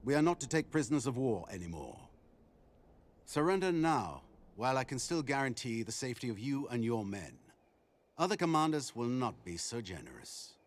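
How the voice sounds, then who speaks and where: Field marshal, male actor, game villain with honor. Formal, grave, mid-pitched, very varied intonation. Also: big pitch swings, lightly acted. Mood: grave, urging. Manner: formal, measured courtesy. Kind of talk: scripted game cutscene drama.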